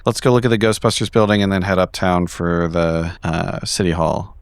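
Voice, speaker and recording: A man with a low, crisp voice takes his turn in a tabletop game. He talks politely and in a measured way, with hesitant pauses, on a dry recording. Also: little pitch movement, dry recording. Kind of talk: in-character actual play with casual asides.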